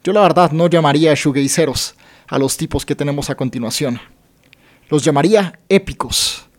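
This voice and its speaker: A man's voice: fairly high pitch, moderate pace. A man presenting a music radio show as its host.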